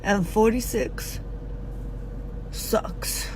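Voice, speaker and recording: Slow-talking woman in her forties. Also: medium pitch, background noise. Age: 40s. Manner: halting, with fillers and pauses, frank and profane. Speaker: social media poster. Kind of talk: personal confessional video.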